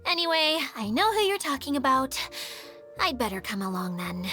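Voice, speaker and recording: Bright, high, dramatic little mascot. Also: female voice, sing-song, soft background music, exaggerated voice. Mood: chipper. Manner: theatrical, quick to protest. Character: dramatic, self-deprecating girlish mascot creature, easily flustered. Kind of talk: video game voice acting.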